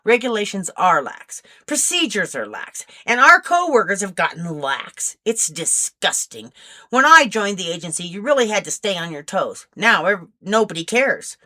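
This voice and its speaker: A woman's voice: mid-range voice, sing-song, normal speed, sharp tone. A woman voicing a stern, grumpy older male agent with stiff, formal speech in a story reading. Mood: disgusted.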